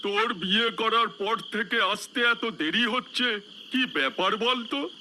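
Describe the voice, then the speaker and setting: A man's voice: sits high, a little slow, bright, forceful tone, a little hiss or hum, exaggerated voice. A man playing a young villager in a cartoon, a nosy friend who teases and prods. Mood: teasing, curious. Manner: teasing, prodding. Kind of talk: cartoon dialogue.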